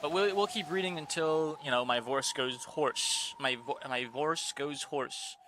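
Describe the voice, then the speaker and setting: Rambling streamer guy, fairly high voice. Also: measured pace, background noise. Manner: rambling, many fillers and restarts. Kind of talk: casual chat on a live stream.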